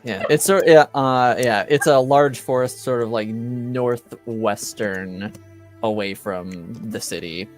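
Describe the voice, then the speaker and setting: A game master casually narrating a tabletop roleplaying game, haltingly, searching for words. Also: mid-range voice. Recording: background music.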